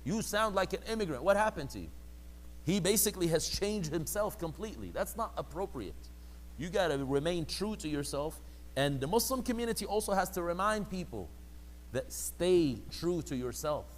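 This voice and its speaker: A man's voice: sits high, lively intonation, normal speed. A man giving a conversational religious lecture, sometimes acting out quoted people in a mocking voice. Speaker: religious teacher.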